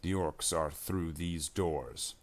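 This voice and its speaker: A man's voice: low voice, slow. A man reading the part of a stern space marine squad leader in a story, giving clipped, terse orders. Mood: terse, cautious.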